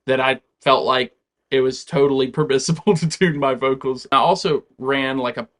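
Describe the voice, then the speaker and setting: Relaxed man chatting through a tutorial. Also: medium pitch, moderate pace. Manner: relaxed, chatty, loose explanations with frequent "like". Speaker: home musician explaining a song recreation. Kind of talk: casual tutorial walkthrough.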